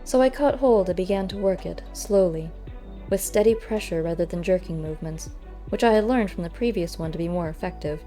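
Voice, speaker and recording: A woman reading a first-person story aloud as an audiobook narrator, measured and expressive. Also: lowish voice. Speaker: audiobook reader. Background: faint music.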